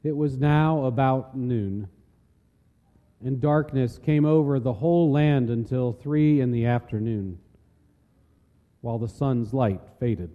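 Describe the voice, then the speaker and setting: A man reads scripture as a solemn narrator. His voice is very dark and mellow, ringing with the long reverb of a large hall. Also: mid-range voice, slow pace, pauses often. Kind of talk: solemn dramatic scripture reading.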